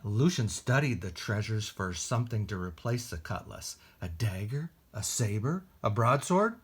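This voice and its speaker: Animated man reading a children's story. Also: lowish voice, moderate pace, slightly rough. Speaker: library storytime host and reader. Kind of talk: expressive read-aloud storytelling for children.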